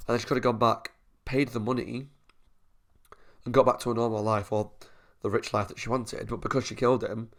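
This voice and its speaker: A man's voice: medium pitch, vocal fry. A man, a YouTube reviewer talking through his opinions in a casual monologue, thinking aloud as he weighs them.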